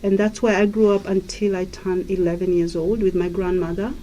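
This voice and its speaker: Mellow-voiced woman from Kenya. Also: fairly low pitch, little pitch movement, a little fast, muffled recording, slight background noise. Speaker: guest, life coach. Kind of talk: radio interview.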